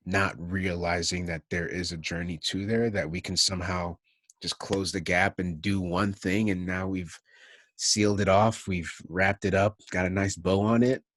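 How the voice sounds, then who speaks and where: A young man with a low, deep voice hosts an interview over a video call from a room at home. His speech is dry and close to the webcam mic, loose and reflective, with frequent laughs and many "like"s. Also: a little slow, dry recording. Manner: animated, reflective, laughs often, says "like" a lot. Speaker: interviewer, host. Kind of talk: casual video call conversation. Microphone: video call, laptop webcam mic.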